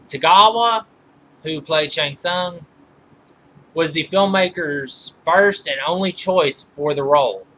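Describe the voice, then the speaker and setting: A man giving informal film trivia commentary as a reviewer, halting and often correcting himself mid-sentence. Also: fairly high pitch, a bit bright, a little hiss or hum, rough recording. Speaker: movie reviewer.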